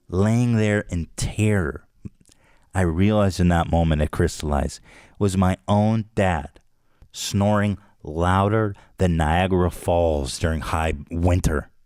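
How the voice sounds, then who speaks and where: A man hosting a podcast, telling a comic story in a dramatic way, dropping now and then into a hushed whisper. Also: low-pitched, slow, no room sound, clean studio sound. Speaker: podcast host.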